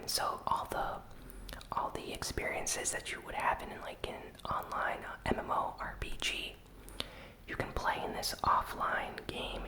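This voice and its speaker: A host giving ASMR gaming news commentary in a breathy, unvoiced whisper, soft-spoken and unhurried. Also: rough recording.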